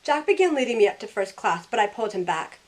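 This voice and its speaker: A woman's voice: medium pitch, big pitch swings, fast talker, close to an everyday voice. A young woman playing a sassy, loyal modern heroine, narrating in the first person in a wry, conversational way. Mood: startled, curious. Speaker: narrator and lead character. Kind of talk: first-person story narration with in-character dialogue.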